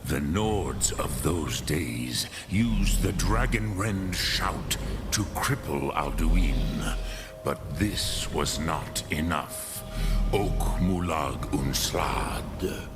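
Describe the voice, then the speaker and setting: A man voicing an ancient, wise male dragon in a fantasy video game, speaking patiently and deliberately with a weighty, gravelly voice and philosophical manner. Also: extremely low pitch, lively intonation, loud music behind the voice, exaggerated voice. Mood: solemn, storytelling. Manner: slow, deliberate, ponderous, pausing between phrases.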